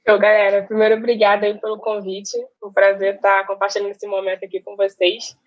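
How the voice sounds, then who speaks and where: A woman's voice: medium pitch, completely flat delivery, fast talker, dry recording, muffled recording. A woman in her twenties speaking on an online panel, chatty, with many fillers, over a video call. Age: 20s. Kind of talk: casual online panel talk.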